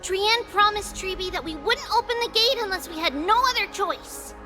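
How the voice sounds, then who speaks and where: A woman's voice: very high voice, animated, moderate pace, music in the background. A woman playing a cheerful, childlike little girl priestess in a video game, sing-song and speaking of herself in the third person. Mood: cheerful, playful. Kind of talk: video game character acting.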